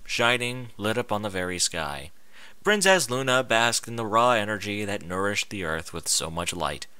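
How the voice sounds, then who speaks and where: Animated, bright-voiced man reading stories. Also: lowish voice, no echo. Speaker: amateur fan fiction reader. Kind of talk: dramatic storybook narration with comic edge.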